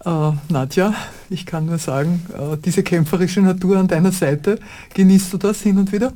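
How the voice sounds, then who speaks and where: Interviewer, hesitant questions with many fillers, dark mellow voice. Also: mid-range voice, fairly even intonation, normal speed.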